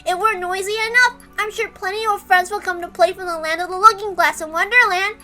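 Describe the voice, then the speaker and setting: A woman's voice: very high voice, fairly even intonation, brisk, sharp tone, faint music behind, pitched above the performer's own voice. A woman voicing a small, sweet, childlike lion plush toy in a babyish manner, from a visual novel read aloud. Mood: playful, inviting. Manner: babyish, with a cute verbal tic.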